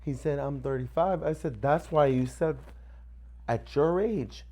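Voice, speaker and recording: A woman's voice: low-pitched, unhurried, mellow. A woman in her forties chatting casually as a podcast co-host, blunt and cheeky. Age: 40s. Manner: blunt, cheeky, swears freely. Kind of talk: casual chat.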